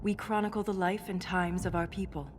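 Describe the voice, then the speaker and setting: An actress playing an earnest, formal dwarf historian in a fantasy game, speaking in a measured, solemn way. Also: female voice, on the low side, steady tone, background noise, natural voice. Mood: earnest, explanatory. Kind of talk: dramatic fantasy game dialogue. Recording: music behind the dialogue.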